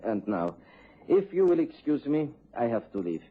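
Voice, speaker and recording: An actor playing a brave, fatalistic foreign informant in a radio drama, speaking with formal, solemn courtesy. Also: male voice, medium pitch, very animated, normal speed, breathy edge. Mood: resolute. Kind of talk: BBC radio drama acting. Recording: tinny, band-limited vintage radio recording.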